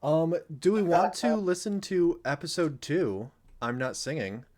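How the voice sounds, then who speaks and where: A man's voice: on the high side, sing-song, a little slow, a loud crowd. A man chatting casually as a cast member on a commentary stream. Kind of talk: casual chat.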